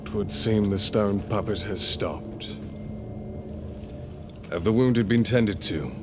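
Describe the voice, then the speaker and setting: An actor playing a coldly assured villainous lord in a fantasy game, speaking with imperious, archaic formality. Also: male voice, on the low side, takes it slowly, noisy background. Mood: cool command. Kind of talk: fantasy video game acting.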